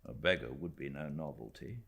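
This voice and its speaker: A man voicing a commanding, theatrical young gentleman in an audiobook, with a teasing, imperious manner. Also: extremely low pitch, moderate pace, creaky, exaggerated voice. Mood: uneasy, musing. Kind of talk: audiobook character voice.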